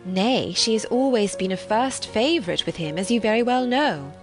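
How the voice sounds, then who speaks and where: A genteel married lady of a period novel, as a woman narrator plays her, calm yet gently persistent. She reasons in formal phrases with lively intonation, over soft music. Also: mid-range voice, normal speed, natural voice. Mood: reasoning, patient.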